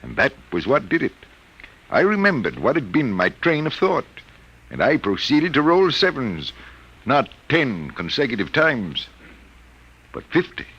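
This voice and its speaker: A man's voice: mid-range voice, huge pitch swings, a little slow, fairly bright tone, breathy edge, muffled recording, heavily acted. An American actor playing a mild, middle-aged college professor troubled by his own power, speaking formally and hesitantly in 1950s radio drama style. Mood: intent. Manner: formal, precise, hesitant wording.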